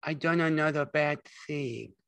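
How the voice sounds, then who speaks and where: A man's voice: a little high, measured pace, gravelly, a bit bright, clean tone, no echo, voice pushed up. A man voicing a big, childlike, simple man in an audiobook, naive and pleading, with ungrammatical speech. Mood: eager, anxious. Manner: naive, uneducated rural speech with bad grammar.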